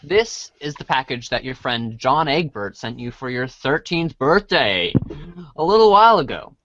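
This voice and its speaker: A man's voice: mid-range voice, very animated, normal speed, fairly bright tone, slight background noise. A man narrating a comic as a read-along storybook, informal and stumbling over words as he reads. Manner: informal, stumbles while reading. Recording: dry, dull band-limited audio.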